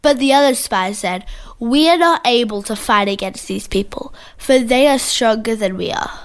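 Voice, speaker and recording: Australian female co-narrator, somewhat high-pitched, scripted kids' storytelling. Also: moderate pace. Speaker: children's Bible story co-narrator.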